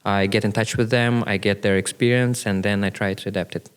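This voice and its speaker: Hesitant man, low smooth voice. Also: fairly even intonation, brisk. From Russia. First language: Russian. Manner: hesitant, many "uh" and "like" fillers, speaks in short bursts. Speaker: podcast guest, business owner. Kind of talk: casual interview conversation.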